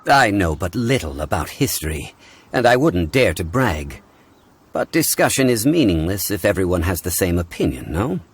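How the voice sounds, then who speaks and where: Deep-voiced, dignified scholarly gentleman. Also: sing-song, breathy edge, heavily acted. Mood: calmly reasoning. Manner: measured, formal, scholarly. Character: calm, learned, dignified man, a history consultant. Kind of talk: scripted video game dialogue. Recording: music under the voice.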